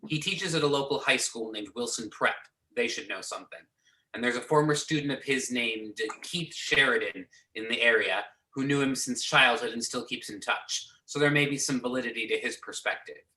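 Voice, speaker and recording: A man's voice: mid-range voice, normal speed, bright, forceful tone, natural voice. A man playing a businesslike agency boss briefing agents, reading in an amateur readers theater over a video call. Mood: matter-of-fact. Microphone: video or conference call. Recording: echoey room over video conference.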